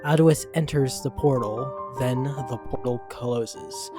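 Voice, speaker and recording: A man's voice: mid-range voice, slow pace. A man reading scripted story narration as the narrator. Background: background music.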